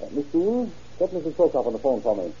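An actor playing a formal, skeptical, dismissive office professional in an old radio crime drama. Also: male voice, fairly high pitch, big pitch swings, fast talker, warm tone, slightly rough, heavily acted. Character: dry, skeptical office professional, unimpressed by threats. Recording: dull, muffled old radio recording.

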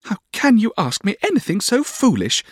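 A man's voice: on the high side, huge pitch swings, normal speed, a bit bright, no echo, studio quality, pitched above the performer's own voice, exaggerated voice. A man voicing a childish, pampered young woman in a storybook reading, petulant and girlish, playfully scolding. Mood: pouting.

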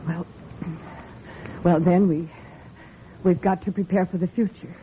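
An actress playing a devoted, anxious wife in an old-time radio drama, hesitant and earnest. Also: female voice, on the low side, steady tone, unhurried, close to an everyday voice. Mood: anxious, brave. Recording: muffled, hissy vintage radio recording.

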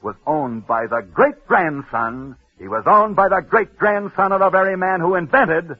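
A man hosting a radio sports newsreel, telling stories with dramatic pauses and punchy emphasis. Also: high-pitched, lively intonation, husky. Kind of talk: 1940s radio sports storytelling. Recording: tinny old radio broadcast.

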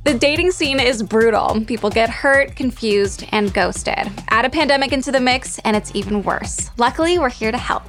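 A woman hosting a podcast, bubbly and quick to laugh. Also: on the high side, fairly bright tone, soft background music. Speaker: podcast host. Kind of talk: upbeat hosting.

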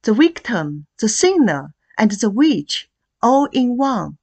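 A woman poet reading verse deliberately, pausing between short phrases. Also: on the high side. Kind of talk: poetry reading.

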